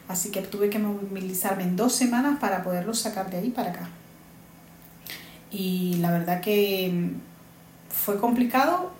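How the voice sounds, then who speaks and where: A woman's voice: mid-range voice, dark tone, slight background noise. A woman from Honduras, earnestly telling her own story as an interviewee and repeating points for emphasis. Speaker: interviewee, migrant mother. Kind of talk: earnest personal testimony. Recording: echoey room with faint ambience.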